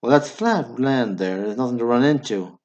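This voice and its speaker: A man's voice: medium pitch, expressive, normal speed, darkish tone, natural voice. An actor playing a terse, weary, tough small-town man in a radio drama, dry and restrained. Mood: grim, menacing. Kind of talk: stage-play acting in radio drama. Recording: dull lo-fi recording.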